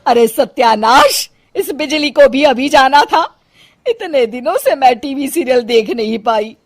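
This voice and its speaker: Woman playing a high-voiced, dramatic mother-in-law. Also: lively intonation, measured pace, no room sound, clean studio sound, full character voice. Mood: exasperated. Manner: melodramatic grumbling and exclaiming. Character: middle-aged city mother-in-law, dramatic, complaining, fond. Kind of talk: Hindi cartoon moral-story acting.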